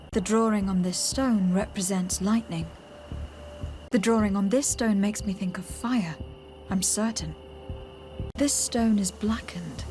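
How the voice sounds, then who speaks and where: A composed young noblewoman adventurer, played by an actress, with a medium pitch. She speaks earnestly and gravely, sometimes hushed, with soft game music behind her. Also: close to an everyday voice. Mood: pondering.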